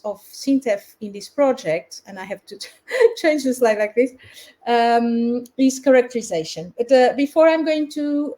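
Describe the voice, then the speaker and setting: Mellow-voiced woman presenting research. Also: fairly high pitch. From Portugal. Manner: hesitant, many fillers and restarts. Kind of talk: webinar presentation. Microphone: video call.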